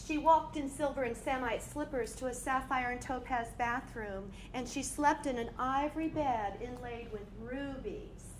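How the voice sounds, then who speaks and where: A woman's voice: sits high, a little slow, hardly any pauses, reverberant, slight background noise, poor audio. A woman narrating a dramatized story in a live staged reading.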